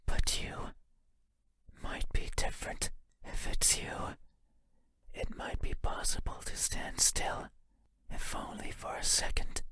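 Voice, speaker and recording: Whispering, lonely monster in the dark. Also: male voice. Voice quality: breathy, unvoiced whisper. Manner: halting, intimate, musing, formal phrasing. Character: lonely wordless monster lurking in the dark, yearning, eerie. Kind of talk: video game horror monologue.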